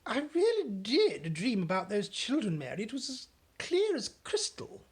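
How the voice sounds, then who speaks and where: A refined queen from a storybook, high and plummy, drawing out her exclamations, as a woman reader puts her on. Her voice swoops widely and rings out loudly. She is gracious but quick to be shaken. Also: wildly swooping pitch, husky, heavily acted. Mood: dismayed.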